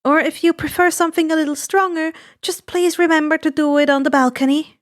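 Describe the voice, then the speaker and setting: A woman plays a middle-aged mother from an anime storybook tale. She speaks in a high voice, earnest and fussy, caring but easily flustered. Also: fairly even intonation, a little fast, natural voice. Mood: serious, caring.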